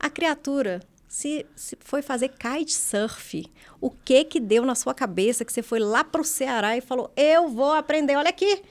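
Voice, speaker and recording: A woman's voice: high voice, animated, normal speed. A woman hosting a casual podcast interview, playful and teasing, laughing often. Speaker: podcast host.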